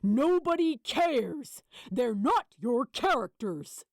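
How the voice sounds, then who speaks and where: A woman's voice: sits high, big pitch swings, slow pace, no echo, clean studio sound, pitched above the performer's own voice, heavily acted. Woman voicing a snarling, pompous male boss. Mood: scornful. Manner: snarling, sneering.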